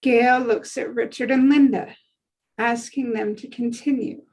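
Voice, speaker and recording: A woman's voice: fairly high pitch, fairly even intonation, a little slow, a little mellow, husky, poor audio. A woman reading stage directions as scripted narration for a dramatic table read.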